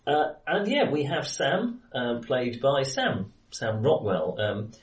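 A man hosting a film podcast in casual conversation, speaking haltingly as he searches for his words. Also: mid-range voice, huge pitch swings. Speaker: podcast host. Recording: roomy echo, distant from microphone.

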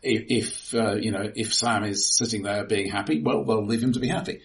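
A man's voice: fairly low pitch, brisk, vocal fry. A man in a casual film discussion, talking as an analytical co-host with some hesitant restarts. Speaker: podcast co-host reviewing films.

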